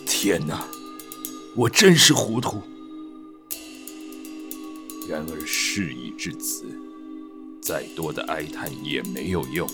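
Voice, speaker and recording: A grave, middle-aged lord in a radio drama. His deep voice is solemn and theatrical, swinging widely in pitch. Music plays beneath him. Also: lively intonation, slow pace, pauses often, exaggerated voice. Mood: anguished, vengeful. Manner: solemn, theatrical, sighing delivery. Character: grave middle-aged aristocratic father, reading a grieving letter. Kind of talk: Mandarin radio drama acting.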